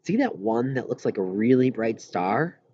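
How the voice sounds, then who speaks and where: A man reading an audiobook, voicing an earnest boy who eagerly explains things and has a quietly wistful streak. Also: medium pitch, sing-song, moderate pace, muffled recording. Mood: eager, explaining. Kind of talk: audiobook character dialogue.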